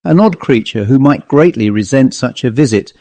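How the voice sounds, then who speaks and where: A man reading a classic ghost story as an audiobook narrator, in a measured, literary manner. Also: mid-range voice, expressive, dark tone, no echo.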